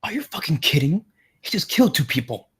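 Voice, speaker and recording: An actor at a screenplay table read playing a tense, accusing and skeptical thriller character in an urgent, blunt way. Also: male voice, fairly high pitch, very animated, a little fast, a bit bright, husky, no echo, studio quality, heavily acted. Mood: incredulous, wary.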